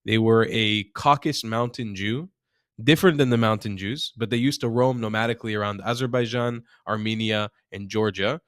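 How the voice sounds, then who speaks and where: A man's voice: on the low side, fairly even intonation, normal speed, smooth, dry recording, studio quality. A man from Israel, a journalist guest on a video call, talking passionately and arguing with rhetorical questions. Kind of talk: casual interview chat.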